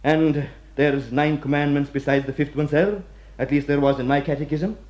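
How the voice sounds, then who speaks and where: An actor playing an aging, devout Irish prison warder in a radio play, deferential and ruminative, with an Irish accent. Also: male voice, fairly high pitch, steady tone, normal speed, a little mellow, lightly acted. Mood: earnest, insistent. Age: middle-aged. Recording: dull, muffled radio recording.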